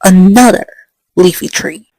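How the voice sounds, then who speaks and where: A woman's voice: lowish voice, unhurried, dark tone, no echo, poor audio. A woman voicing a sporty, tomboyish female pegasus pony in a fan comic dub, blunt and matter-of-fact.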